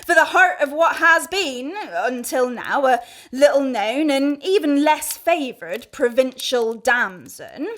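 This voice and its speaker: An actress playing a scheming, deferential maidservant who speaks in flowery, coy period language in a comic radio play. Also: female voice, sits high, expressive, fairly bright tone, exaggerated voice. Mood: sly, coaxing. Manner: deliberate, ornate, coyly deferential. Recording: studio radio play with music.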